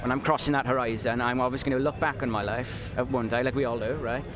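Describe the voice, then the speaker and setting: A man's voice: mid-range voice, fairly even intonation, muffled recording, noisy background. A teenage boy from Wales with a Welsh accent, talking earnestly and at length in a live street interview outdoors. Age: teens. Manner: earnest, rambling, quick-talking. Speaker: interview guest. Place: outdoors at a downtown riverfront. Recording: outdoor street with a loud crowd behind.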